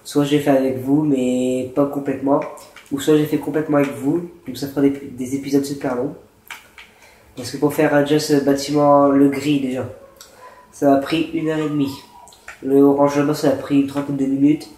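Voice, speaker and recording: Male gaming host with a cold, rambling and hesitant, mellow tone, roomy echoey recording. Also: medium pitch, flat delivery, normal speed. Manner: rambling, hesitant explaining with many fillers. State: stuffy with a cold and sore throat. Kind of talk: casual gameplay commentary and building tutorial. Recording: roomy echo with faint noise.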